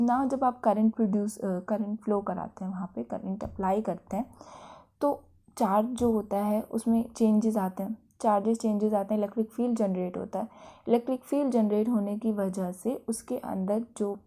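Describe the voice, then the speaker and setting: A woman's voice: medium pitch, fairly even intonation, moderate pace, mellow. A woman with an Indian accent giving an explanatory lecture in a didactic way, often checking that listeners follow. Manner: didactic, with frequent check-in tags. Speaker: physiotherapy lecturer.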